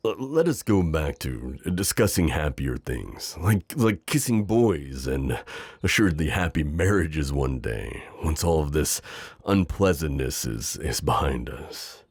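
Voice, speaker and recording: Possessive knight and mentor, acted by a man: courtly, gently hesitant, gravelly, recorded very dry and close. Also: rumbling low, sing-song, a little slow, no room sound, full character voice. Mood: soothing, hesitant. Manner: formal, courtly, tender, hesitant. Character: protective, possessive gallant knight, mentor to a princess. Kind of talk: intimate roleplay audio acting.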